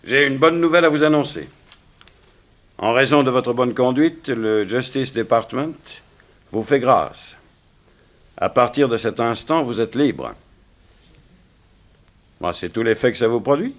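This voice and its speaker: A man's voice: mid-range voice, animated, a little slow, pauses often, lightly acted. An actor playing a formal, curt male prison warden in a 1960s French radio play. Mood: brisk, official.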